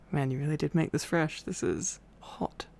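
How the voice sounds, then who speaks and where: Sleepy guy doing boyfriend asmr, slow n croaky, soft affectionate murmuring, kinda rambly. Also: a little high, very animated. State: tired. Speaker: ASMR roleplay performer. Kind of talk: intimate ASMR boyfriend roleplay.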